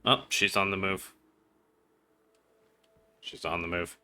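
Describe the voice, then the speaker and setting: A man's voice: deep voice, slow, pushed and bright, smooth. A man doing casual live gameplay commentary as a streamer, muttering to himself and his chat in slangy, sweary language. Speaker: game livestreamer.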